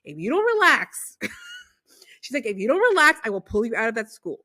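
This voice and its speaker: A woman's voice: fairly high pitch, sing-song, moderate pace, pushed and bright, husky. A woman from Los Angeles hosting a podcast, chatting animatedly with lots of fillers and laughter. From Los Angeles, USA. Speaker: podcast host. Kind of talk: casual interview chat.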